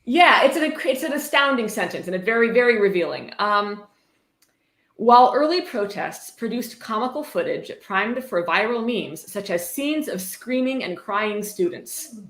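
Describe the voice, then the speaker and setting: A woman's voice: fairly high pitch, animated, moderate pace, fairly bright tone. A woman hosting a live-streamed reading, commenting casually in a wry, sardonic way with some swearing. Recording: roomy, echoey sound.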